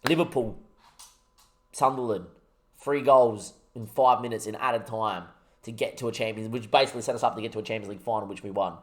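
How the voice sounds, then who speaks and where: A young man commentating his football management game on a live stream, chatting to viewers and rallying with excitable talk. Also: on the low side, fairly quick. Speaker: gaming streamer.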